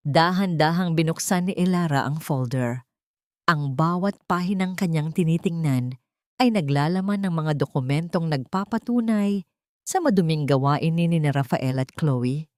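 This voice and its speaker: Low-voiced Filipino woman narrating a story. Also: darkish tone, dry recording, studio quality. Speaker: narrator of an audio drama. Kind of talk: scripted dramatic story narration.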